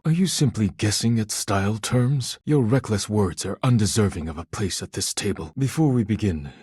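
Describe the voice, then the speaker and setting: Deep, husky, solemn male sushi chef. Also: mellow, no room sound, studio quality. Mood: stern, offended. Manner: formal, measured, clipped phrasing without contractions. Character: solemn, proud Japanese omakase chef, stern and easily offended. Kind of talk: scripted video game character dialogue.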